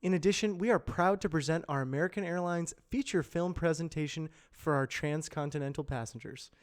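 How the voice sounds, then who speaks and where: A man reading scripted educational and corporate narration in a measured, clearly enunciated explainer delivery, as an amateur voice actor. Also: fairly high pitch.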